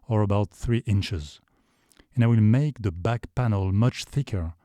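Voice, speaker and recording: Deep-voiced man, low and mellow, measured tutorial narration. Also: dry recording, studio quality. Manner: calm, measured explanation. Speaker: video creator. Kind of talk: scripted voice-over tutorial. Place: foam vocal booth.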